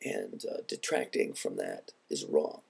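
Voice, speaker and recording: A man speaking in an earnest, measured and reflective way in a personal vlog, his voice congested from a head cold. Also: very deep voice, animated, creaky, a little mellow, slightly rough. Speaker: video blogger.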